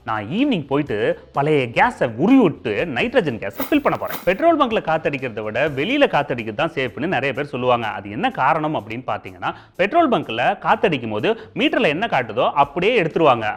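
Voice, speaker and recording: Animated, high-pitched Tamil Nadu man presenting. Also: quick pace. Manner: conversational, explanatory, addressing viewers directly. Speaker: TV show host. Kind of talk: informational presenting in colloquial Tamil with comic asides.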